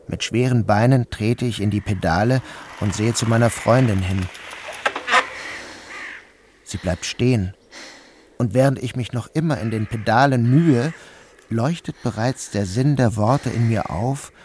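A man narrating a literary novel in the first person, in a measured, subdued, dreamlike tone. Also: lowish voice, darkish tone, a little hiss or hum. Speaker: audiobook narrator.

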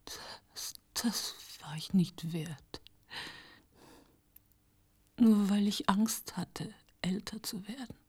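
An actress playing a dying, remorseful fashion model in a radio drama, confessing haltingly in a weak, breathy, tearful whisper. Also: female voice, medium pitch, a little mellow, full character voice. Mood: ashamed, frail. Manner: halting, faltering. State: dying, close to tears.

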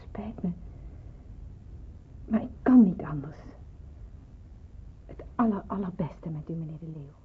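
Hesitant young nurse, thin old recording. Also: female voice, on the low side, a little slow, pauses often, slightly rough. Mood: sad, firm. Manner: hesitant, earnest. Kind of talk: radio drama acting. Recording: muffled, narrow-band old radio play, hissy.

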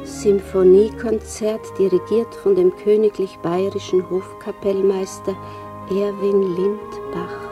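An actress playing a wistful young woman in a radio drama, musing haltingly to herself. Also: medium pitch, level tone, natural voice. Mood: wondering. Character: dreamy, wistful woman lost in her own thoughts. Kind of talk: radio drama interior monologue. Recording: distant microphone, music behind. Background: music.

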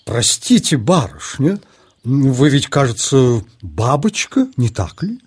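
Fussy gentleman beetle for children's audio play: very husky, wildly swooping pitch, blustering and boastful. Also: big pitch swings, takes it slowly, full character voice. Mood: stiff disapproval. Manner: blustering, mannered, hesitant interjections. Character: fussy, pompous male beetle, gallant but boastful.

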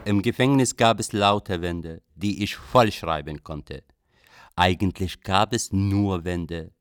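An actor playing a thoughtful young male refugee writer, narrating a radio drama with wry, deliberate melancholy. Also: sits low, clean tone. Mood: melancholic, thoughtful. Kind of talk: literary first-person radio drama narration. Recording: music bed behind voice.

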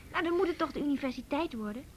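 A high-pitched young woman in a radio drama. She is outspoken and inquisitive, with an emotional, probing delivery. A faint rumble sits behind her. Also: expressive, very fast. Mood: pleading. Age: young adult. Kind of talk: naturalistic Dutch radio drama acting.

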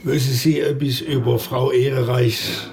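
An actor playing a brusque, condescending provincial police inspector with a creaky voice in a naturalistic radio drama. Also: male voice, mid-range voice, flat delivery, measured pace, darkish tone, natural voice. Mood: dry, condescending. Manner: dry, condescending, officious. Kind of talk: naturalistic German-language radio drama acting.

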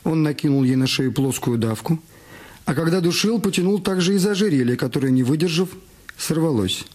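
A man's voice: a little high, expressive, brisk, darkish tone, slightly rough. A man reading a detective story as the narrator of a scripted radio production. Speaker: narrator of an audio drama. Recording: music under the voice. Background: background music.

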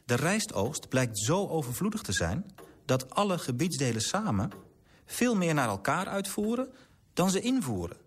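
An actor in a radio drama playing an earnest, idealistic clerk, formal and articulate, politely persistent. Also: male voice, on the high side, very animated, moderate pace, slight background noise. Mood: indignant, persuasive.

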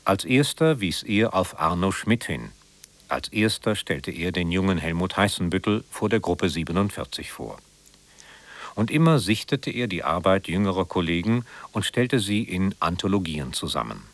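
A man reading a scripted biographical introduction as a radio presenter, in a measured, formal manner. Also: low voice, very animated, no room sound.

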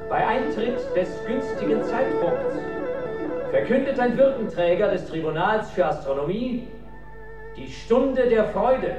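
An actor playing a solemn imperial court official in a radio drama, formally proclaiming ceremonies in measured phrases. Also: male voice, very high voice, big pitch swings, heavily acted. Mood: solemn, ceremonial. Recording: roomy, distant, with loud music.

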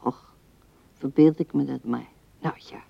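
Old widow lady musing tenderly to her dead husband, radio drama, slow and hushed, very dry muffled old recording. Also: very deep voice, steady tone, creaky, slightly rough, no room sound, exaggerated voice. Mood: tender, wistful. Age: older. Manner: wistful, halting, often dropping to a whisper. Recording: dull 1970s radio recording.

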